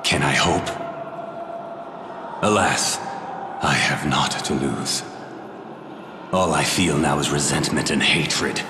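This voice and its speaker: A man's voice: very deep voice, slow, long pauses, noisy background. A man voicing a bitter, resentful walking skeleton who speaks grimly in archaic words. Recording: echoey with loud game music.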